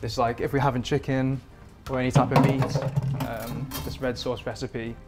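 A man in his thirties, a performance chef from England, answering interview questions in a relaxed, rambling way with many fillers, in a home kitchen. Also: mid-range voice, moderate pace, loud music behind the voice. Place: modern home kitchen indoors.

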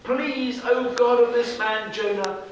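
A man voicing frightened sailors in a children's storybook, loud, urgent and exclaiming. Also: extremely high pitch, steady tone, takes it slowly, muffled recording, voice pushed up. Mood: pleading. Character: frightened, desperate sailors and captain in a storm. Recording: echoey, noisy room, recorded from far off.

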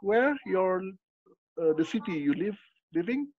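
Headset man, higher voice, halting phrasing. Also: big pitch swings. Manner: halting non-native English, frequent fillers and encouraging backchannels. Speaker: interview host. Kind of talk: video call interview. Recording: dry lo-fi headset audio.

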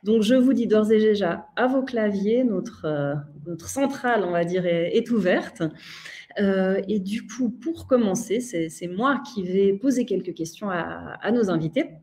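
A woman's voice: mid-range voice, animated, unhurried, a little mellow, a little hiss or hum. A woman in her thirties with a Swiss French accent, moderating a live online panel over a video call, with many fillers and restarts.

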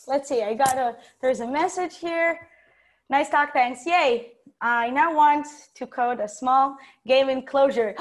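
A young woman giving an informal tech talk over a video call, chatty, giggly and self-deprecating. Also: high-pitched. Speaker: conference speaker, software developer. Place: indoors, room with bookshelves.

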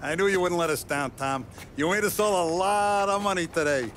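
An actor playing an older, paternal mob boss in a video game, giving gruff praise. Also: male voice, sits high, fairly quick, a bit bright, a little hiss or hum. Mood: pleased.

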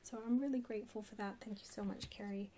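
A woman chatting casually in a soft, hushed, partly whispered ASMR style as she hosts a haul video. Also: mid-range voice, fairly even intonation, fast talker, slight background noise. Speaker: video host. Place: indoors at a table.

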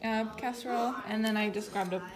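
A woman in her thirties, a mother casually listing her groceries in a relaxed, chatty way, filmed on a phone in her home kitchen. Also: a little high, quick pace, a loud crowd. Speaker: mother filming a grocery haul. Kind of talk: casual grocery haul narration. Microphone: phone camera held over counter. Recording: noisy home phone recording. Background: loud background noise, kids talking.

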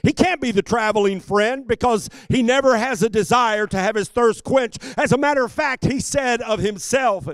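A man in his fifties preaching a sermon as a pastor, speaking emphatically into a handheld microphone with deliberate repetition. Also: extremely high pitch, dry recording. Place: church sanctuary stage at a pulpit. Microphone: handheld microphone held close.

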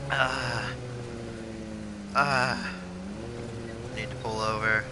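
A man's voice: medium pitch, steady tone, very slow. A tired man streaming a driving game, mumbling along and cursing at the action. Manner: mumbling, bursts of exclamations at the game. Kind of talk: casual gameplay commentary. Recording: loud game car noise behind.

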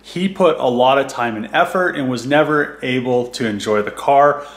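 A man's voice: mid-range voice, moderate pace. A man in his thirties hosting a casual car vlog, talking in an easygoing, conversational way with plenty of fillers. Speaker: YouTube host. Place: car garage, then indoors at home.

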